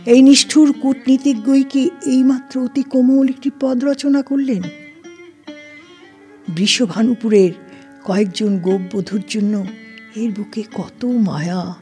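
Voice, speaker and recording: Slow, deliberate woman storyteller. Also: on the high side. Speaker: story narrator. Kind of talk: scripted literary narration. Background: soft plucked-string music.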